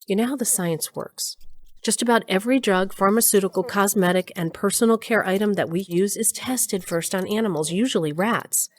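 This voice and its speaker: An actress playing a pragmatic, earnest research scientist in an audio drama, reasoning and explaining. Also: female voice, mid-range voice, moderate pace, close to an everyday voice. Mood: earnest, firm.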